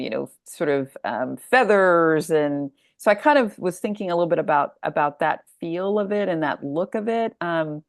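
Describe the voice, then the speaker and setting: Low-voiced, creaky American woman, thinking aloud. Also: dry recording, studio quality. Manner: thoughtful, discursive, thinking aloud. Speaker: guest author discussing her story collection. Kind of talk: casual interview talk.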